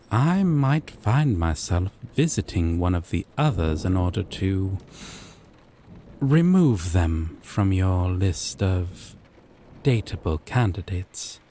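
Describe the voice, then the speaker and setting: Casting: man to voice tender, obsessive dating-sim boyfriend for audio roleplay. Lowish, slightly dark voice, lingering and teasing, faint storm ambience. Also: very animated, a little hiss or hum, exaggerated voice. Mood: obsessive, menacing. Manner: slow, lingering, coaxing, softly teasing. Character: gentle, obsessive male yandere dating-sim character, adult, tender, possessive. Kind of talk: intimate scripted audio roleplay.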